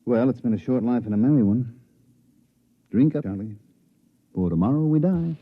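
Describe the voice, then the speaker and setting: A man's voice: medium pitch, expressive, moderate pace, a little hiss or hum, lightly acted. Man acting a wry huckster, dull old radio sound. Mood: wry resignation. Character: slick, wry, resigned middle-aged huckster and narrator. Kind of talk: 1950s radio drama acting. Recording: dull vintage 1950s radio recording.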